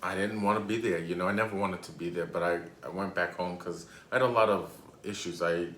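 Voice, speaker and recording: A man's voice: sits low, a little fast, fairly bright tone. A man in his thirties from Rhode Island, telling a casual, rambling storytime with many hesitations. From Rhode Island, USA. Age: 30s. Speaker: YouTuber. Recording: echoey room, faint background noise.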